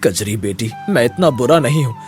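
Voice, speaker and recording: A man's voice: mid-range voice, animated, moderate pace, a little mellow, voice pushed down, close to an everyday voice. Guy doing an older dad, village landowner, stern and earnest, storybook drama, music behind. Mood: remorseful.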